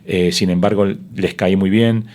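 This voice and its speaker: A man's voice: low voice, fairly even intonation, a little slow, darkish tone. A middle-aged man, a sports broadcaster whose first language is Spanish, telling his story in an interview with many fillers and restarts.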